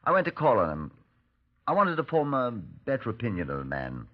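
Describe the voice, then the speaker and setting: An actor playing a measured, courteous middle-aged British Scotland Yard superintendent, narrating and questioning in a 1950s radio drama. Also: male voice, medium pitch, huge pitch swings. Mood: reflective narrating. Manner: measured, polite, probing. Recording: muffled 1950s radio recording.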